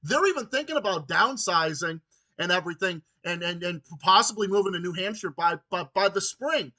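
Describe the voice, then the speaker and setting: High-pitched young man, bright voice. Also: expressive, fairly quick. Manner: emphatic, repetitive, stammering. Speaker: political ranting show host. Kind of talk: political phone conversation.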